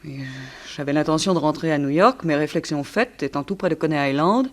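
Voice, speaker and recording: A woman's voice: low voice, a little fast, lightly acted. An actress playing a self-possessed young woman under suspicion, formal, precise and coolly defiant in a radio drama. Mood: composed, defiant. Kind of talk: theatrical radio drama acting.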